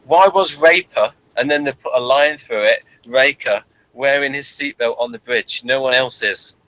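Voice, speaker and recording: A man's voice: mid-range voice, sharp tone, low-quality recording. A man hosting a casual review chat, rambling and repeating phrases as he thinks, sometimes reading from notes. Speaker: review show host.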